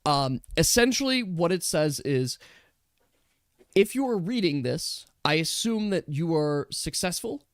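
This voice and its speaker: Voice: mid-range voice, lively intonation, measured pace, no room sound, studio quality. A game master narrating a tabletop role-playing game in a casual, conversational way.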